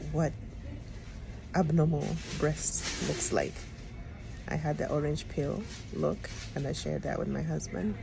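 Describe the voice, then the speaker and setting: A middle-aged woman, a public health educator, talking in an earnest, reflective way with frequent pauses and ums. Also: low voice, creaky, low-quality recording. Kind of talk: personal vlog talk. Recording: music playing underneath.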